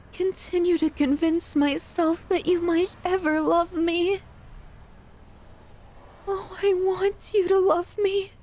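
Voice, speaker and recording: A woman performs an unhinged yandere woman, obsessive and possessive in her love. Her voice sits high and she draws her words out slowly. The delivery is a halting, emotional and dramatic ASMR roleplay monologue. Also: darkish tone. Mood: pleading devotion.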